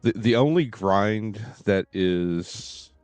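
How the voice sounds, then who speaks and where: A man giving casual live game commentary, thinking aloud in a halting way and often repeating his words. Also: lowish voice, lively intonation, darkish tone, clear, resonant tone, no room sound. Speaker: game livestreamer. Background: faint game music.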